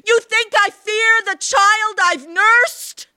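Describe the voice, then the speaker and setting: Frantic elderly mother, shrieking high, imperious, hysterical with grief. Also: sing-song, takes it slowly, bright, forceful tone, no echo, studio quality, heavily acted. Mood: fierce demanding. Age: older. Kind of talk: dramatic storybook character acting.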